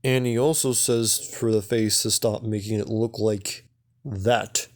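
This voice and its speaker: A man's voice: lowish voice, fairly even intonation, a little slow. A man narrating a recap and review in a casual, semi-scripted style, as the presenter of a video.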